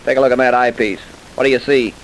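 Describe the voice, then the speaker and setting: Confident forensic investigator, male actor, impatient and plain-talking, vintage radio drama with soft hiss. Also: mid-range voice, fairly even intonation, lightly acted, poor audio. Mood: impatient, explaining. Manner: brisk, assertive, colloquial. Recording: hissy vintage radio recording.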